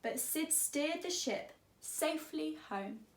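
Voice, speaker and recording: A woman teacher with a fairly high voice reads to children. She is expressive and deliberate, lingering on words at a slow pace. The room adds a clear echo. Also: slight background noise. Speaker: teacher reading to pupils. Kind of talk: expressive children's story reading.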